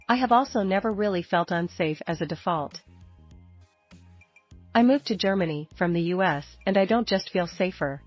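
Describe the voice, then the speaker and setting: A woman narrating forum posts in a steady, even-paced scripted reading. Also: on the low side. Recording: dull lo-fi audio with faint music.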